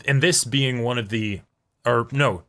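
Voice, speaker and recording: Bright-toned man thinking aloud. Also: medium pitch, unhurried. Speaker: co-host reacting to an anime. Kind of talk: casual discussion.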